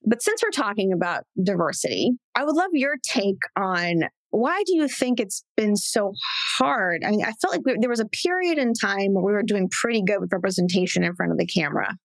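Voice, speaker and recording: A woman's voice: mid-range voice, lively intonation, a little fast, creaky, few pauses, no room sound. A woman, a TV showrunner, talking frankly and casually as a podcast guest, swearing now and then. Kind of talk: candid casual conversation.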